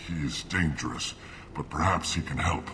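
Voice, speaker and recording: An actor playing a bitter, plague-stricken alien in a video game, sick and weak, with grave, formal phrasing. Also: male voice, rumbling low, normal speed, bright, forceful tone, a little hiss or hum. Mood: weary, resigned. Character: dying plague-stricken male alien, bitter, suspicious of humans. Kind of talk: video game dialogue acting.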